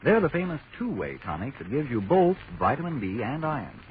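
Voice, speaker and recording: A man's voice: medium pitch, very animated, fairly quick. An American man delivering emphatic, reassuring 1940s radio advertising patter as an announcer. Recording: tinny noisy old radio broadcast.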